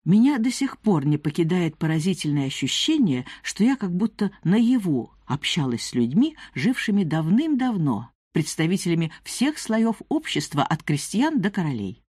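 A woman narrating a nonfiction audiobook, reading from a script with measured, articulate phrasing. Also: fairly low pitch, very animated, a little mellow, breathy edge, dry recording, clean studio sound.

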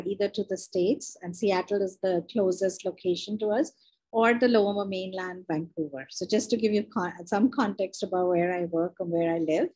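A woman's voice: medium pitch, a little mellow, husky, rough recording. A young adult woman from India, a university librarian, presenting a webinar over a video call in a conversational way, with many fillers and self-corrections.

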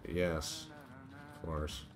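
A man's voice: a bass voice, little pitch movement, extremely slow. A man giving casual, profane video game commentary, muttering asides to himself. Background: video game sound.

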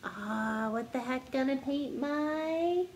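A woman in her thirties reading aloud and talking to young children like a teacher, in an expressive, deliberate, sing-song manner. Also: sits high, slight background noise. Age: 30s. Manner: slow, deliberate, animated teacher delivery addressing children. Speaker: first grade teacher hosting a children's story time. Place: living room at home, indoors.

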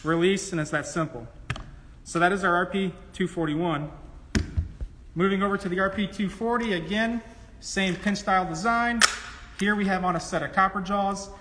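A man's voice: high-pitched, moderate pace. A man in his thirties giving a step-by-step, instructional product demonstration. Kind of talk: live tool demonstration. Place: trade show booth in a large indoor hall. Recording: echoey hall, loud clicks and background noise.

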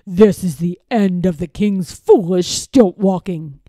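A woman's voice: on the low side, takes it slowly, mellow, dry recording, clean studio sound, pitched below the performer's own voice. Woman acting out a harsh, scheming storybook lord. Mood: gloating. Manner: commanding, snapping, harsh. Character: scheming, harsh, bullying lord at a royal castle.

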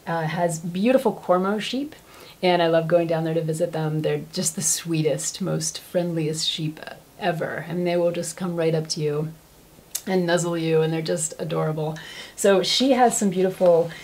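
A woman's voice: on the low side, normal speed, darkish tone. An American woman in her thirties chatting enthusiastically to camera in a casual, gushing way, as a craft vlog host. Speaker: knitting vlog host and yarn shop owner. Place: small furnished room at home.

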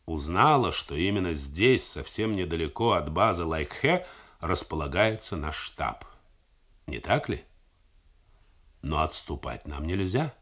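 A man reading the part of a calm, deliberate army general who reasons things through steadily. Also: deep voice, heavily acted. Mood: reasoning. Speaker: army commander.

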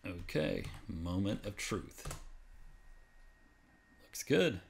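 A man's voice: deep voice, expressive, drawn-out words, creaky, slight background noise. A man presenting a casual, unscripted how-to as a voice-over, explaining step by step in an informal way. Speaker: tutorial presenter. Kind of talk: casual screen-recorded how-to narration. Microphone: voice-over on a screen capture.

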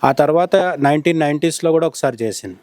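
Man, slightly high voice, dry recording, conversational news analysis, explanatory, rephrasing. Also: fast, a little mellow, no echo. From India. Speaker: journalist interviewed as commentator.

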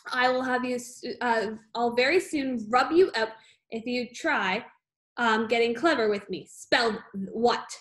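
A storybook headmistress, played by a woman reader, huge and tyrannical. She barks and bellows at the small people she despises, loud and high in pitch, bullying and ready to explode. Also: unhurried. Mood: menacing. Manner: barking, bellowing, insulting.

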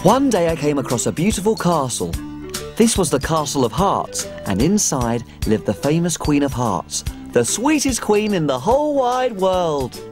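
A performer voicing a tiny cheerful cook on a children's show, telling a story in an excitable way. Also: medium pitch, moderate pace, faint music behind, natural voice. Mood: storytelling. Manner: bouncy, excitable.

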